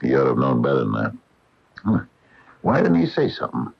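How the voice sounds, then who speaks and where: Folksy small-town American shopkeeper, gravelly, gruff, wary, radio drama, dull lo-fi broadcast sound. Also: male voice, very deep voice, wildly swooping pitch, fairly quick, heavily acted. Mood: troubled, indignant. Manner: folksy, colloquial, emphatic. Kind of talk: 1980 radio drama acting.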